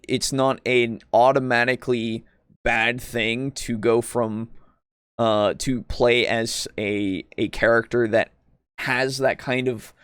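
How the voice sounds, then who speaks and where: A man co-hosting a casual discussion stream, halting and wordy as he circles back to qualify his points. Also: mid-range voice, a bit bright, clean tone, no echo, clean studio sound. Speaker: podcast host. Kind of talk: casual discussion, commenting on a video for a live stream.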